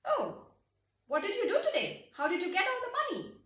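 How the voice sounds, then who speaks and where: A woman's voice: extremely high pitch, wildly swooping pitch, moderate pace, fairly bright tone, reverberant, voice pushed up, full character voice. A woman storyteller playing a curious king who poses as an ordinary man and questions people with disbelief. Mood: incredulous, probing. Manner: probing, incredulous questioning.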